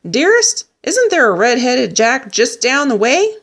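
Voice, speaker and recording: A woman's voice: high voice, big pitch swings, a little slow, a bit bright, pitched above the performer's own voice, full character voice. A woman voicing a skinny, eager, friendly man in a storybook, chirpy and hospitable.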